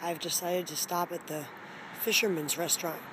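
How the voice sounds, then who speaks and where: A hoarse woman hosting her own food vlog, chatting frankly about her meal while sitting in a seafood restaurant. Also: lowish voice, fairly even intonation, normal speed. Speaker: food vlogger. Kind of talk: casual restaurant review vlog. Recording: loud background noise.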